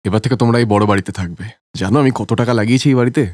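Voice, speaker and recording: A man voicing a busy, money-minded young husband in a cartoon story, brisk, dismissive and self-justifying. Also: fairly low pitch, a little mellow, lightly acted. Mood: impatient. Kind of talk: cartoon story character acting.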